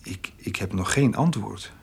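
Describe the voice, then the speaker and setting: Shy, husky-voiced country man. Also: fairly low pitch, expressive, measured pace, full character voice. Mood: sheepish. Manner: hesitant, stammering, roundabout. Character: shy, awkward country man clumsily courting. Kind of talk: naturalistic radio drama.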